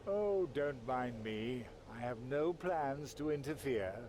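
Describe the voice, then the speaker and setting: A man's voice: mid-range voice, expressive, slow, noisy background. A man voicing a sly, theatrical undead schemer in a video game, mocking and teasing with a languid drawl. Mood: teasing, nonchalant. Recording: music behind.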